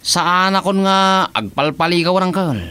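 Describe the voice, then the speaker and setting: An actor playing an excitable, pleading young nephew eager to marry, in an Ilocano radio comedy drama, with an Ilocano accent. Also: male voice, high-pitched, a little slow, clear, resonant tone, dry recording.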